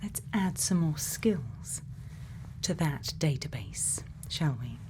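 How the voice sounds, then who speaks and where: A woman playing a quirky, gently probing scientist in a soft-spoken ASMR roleplay, murmuring unhurried questions and sometimes half whispering. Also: deep voice, animated, full character voice. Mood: calm, attentive. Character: quirky, gently probing female scientist programming a robot's memories.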